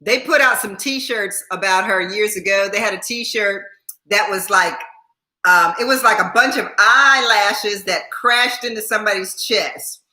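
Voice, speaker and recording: A woman's voice: medium pitch, a little slow, pushed and bright, echoey room. A woman in her sixties chatting casually on a livestream, repeating herself and laughing often while thanking viewers by name. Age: 60s. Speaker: YouTube host.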